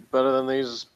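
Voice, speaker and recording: A man's voice: medium pitch, smooth, highs cut off. A man talking informally and hesitantly, meandering through his opinions in an unscripted review chat about television. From outside Britain.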